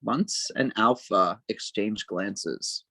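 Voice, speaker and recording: A man reading stage directions as narrator at a table read, with measured, dramatic phrasing. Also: on the low side, bright, forceful tone, husky, no room sound.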